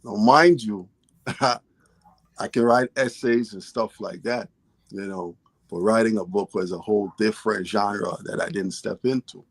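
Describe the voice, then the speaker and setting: A man's voice: on the low side, measured pace, no echo. A man from Guyana, a guest author and poet, telling his story in a casual interview in an earnest, storytelling way.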